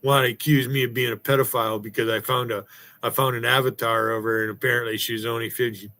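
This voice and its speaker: A man's voice: mid-range voice, flat delivery, fast talker, pushed and bright. A man hosting a livestream, rambling in a profane, combative, off-the-cuff rant. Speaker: livestream host. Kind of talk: profane ranting chat.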